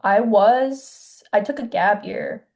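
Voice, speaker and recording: Soft-spoken young woman from China. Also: mid-range voice. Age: 20s. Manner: soft-spoken, hesitant, many pauses and restarts. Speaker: filmmaker guest. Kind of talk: casual interview.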